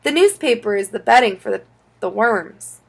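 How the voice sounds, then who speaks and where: Earnest, easily upset little boy for a kids' audiobook, played by a woman, high and swooping. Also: expressive, moderate pace. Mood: eager. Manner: stammering, pleading when upset. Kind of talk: children's audiobook character.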